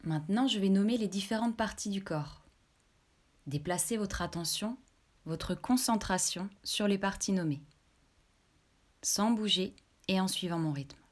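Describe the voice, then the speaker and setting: A woman leading a guided relaxation meditation as a yoga nidra instructor, giving calm, measured instructions. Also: medium pitch.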